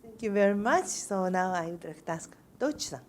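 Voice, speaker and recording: A woman's voice: mid-range voice, expressive, brisk, slight background noise. A woman moderating a university video, formally introducing international students one by one. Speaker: faculty moderator.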